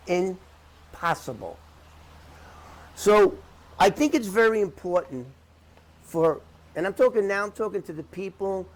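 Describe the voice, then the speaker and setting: A middle-aged man talking unscripted to the camera in an emphatic, repetitive, halting way as he rebuts claims. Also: on the high side. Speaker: interview commentator debunking someone's claims.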